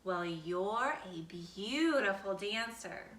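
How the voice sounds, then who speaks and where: A woman voicing a gracious, gently encouraging female ballet teacher in a children's storybook, speaking in a measured way. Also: medium pitch, lively intonation. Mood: gentle, encouraging. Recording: roomy echo, distant from the mic.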